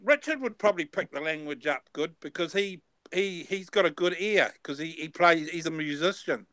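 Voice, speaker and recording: A man's voice: on the high side, normal speed, fairly bright tone, no room sound. A man in his fifties chatting casually as host, rambling and repeating his words, now and then swearing. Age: 50s. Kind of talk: casual chat.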